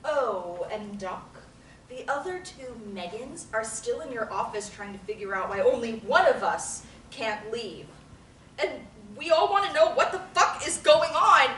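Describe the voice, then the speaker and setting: A woman's voice: a little high, animated, a little slow, a bit bright. A woman reading a brash, foul-mouthed young woman in group therapy, sarcastic and confrontational. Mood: angry, mocking. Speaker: table-read actor. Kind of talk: script table reading. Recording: roomy, distant mic, light hiss.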